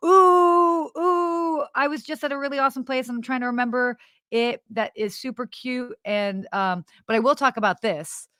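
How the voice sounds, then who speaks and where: A woman co-hosting a podcast in casual live chat, with an animated, giggly, exclamatory way of talking. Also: high voice, normal speed, clean tone, no echo, clean studio sound. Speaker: podcast co-host.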